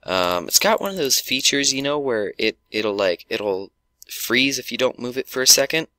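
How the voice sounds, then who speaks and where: A man with a sore throat and a stuffy nose, chatting through a gameplay video and talking in a halting way with lots of ums and restarts. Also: mid-range voice, animated, sharp tone, no echo. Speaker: gaming YouTuber. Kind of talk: casual gameplay commentary.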